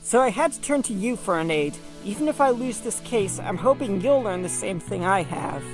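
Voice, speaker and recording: A woman's voice: medium pitch, expressive, moderate pace, close to an everyday voice. Woman playing an earnest male animal lawyer over music. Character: earnest wounded male animal defense attorney, stubbornly principled. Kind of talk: fan audio drama acting. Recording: music behind the voice.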